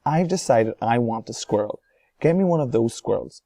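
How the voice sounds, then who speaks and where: A man's voice: medium pitch, lively intonation, moderate pace, warm tone, no echo, natural voice. A man voicing a spoiled young girl who whines and demands things. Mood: demanding. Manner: whiny, demanding.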